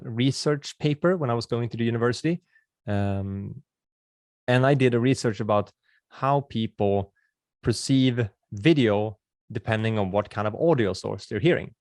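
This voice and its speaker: A low-pitched man with a Swedish accent and a gravelly edge, talking in a friendly, casual way as he answers questions in a webinar. He restarts sentences and uses filler words as he goes. The recording is close and dry, with almost no room sound. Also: moderate pace, darkish tone, no room sound. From Sweden. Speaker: videographer hosting a webinar.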